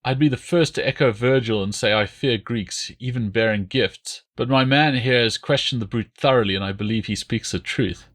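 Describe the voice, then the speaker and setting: A man's voice: mid-range voice, normal speed, hardly any pauses, a bit bright, lightly acted. A man as a blunt, seasoned Roman general. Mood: advising. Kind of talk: audiobook character voice.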